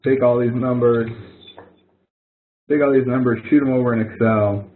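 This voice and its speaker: A man's voice: mid-range voice, fairly even intonation, normal speed. A man instructor talking students through an assignment in a casual, explanatory webinar style, thinking aloud as he demonstrates. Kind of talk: webinar walkthrough. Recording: phone-like narrow audio from a webinar.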